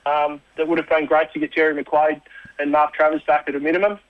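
A man's voice: on the high side, level tone, fairly quick, no room sound, narrow, tinny audio. A man with a blokey, rambling way of talking, giving an organiser's report on casual radio. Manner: blokey, rambling, many fillers.

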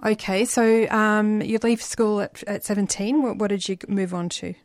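A woman interviewer asks her guest unhurried, gentle questions and murmurs along as she listens. Her voice sits at a middle pitch with a dry, close sound. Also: fairly even intonation, no room sound.

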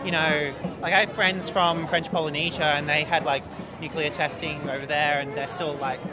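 A man's voice: a little high, steady tone, moderate pace. A man with an Australian accent talking casually and in a rambling way in a street interview. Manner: rambling, peppered with "like". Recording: noisy crowd recording in public. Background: crowd.

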